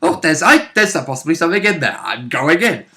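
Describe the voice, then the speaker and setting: A man's voice: fairly high pitch, sing-song, brisk, pushed and bright. A man co-hosting as a player on a role-play vidcast, bantering casually in a jokey, exclamatory, mildly sweary way.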